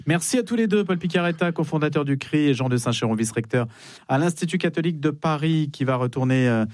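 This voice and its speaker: A man's voice: on the high side, lively intonation, fast, a little mellow, no room sound. A man hosting a live radio interview show, introducing and questioning guests. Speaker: radio host.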